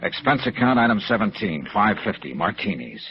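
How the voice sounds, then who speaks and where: An actor playing a terse, hardboiled yet compassionate American insurance investigator in 1950s radio noir, with clipped dramatic delivery. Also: male voice, on the low side, big pitch swings, brisk. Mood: wry, matter-of-fact. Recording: dull vintage radio recording with music.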